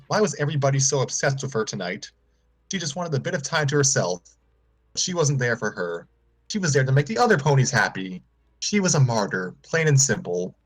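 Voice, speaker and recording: A man's voice: mid-range voice, a bit bright. A man reading fan fiction aloud on a live stream, breaking off for comic asides.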